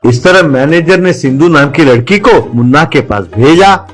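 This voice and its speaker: Guy narrating a cartoon story, fairly high voice, light music under him. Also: animated, normal speed.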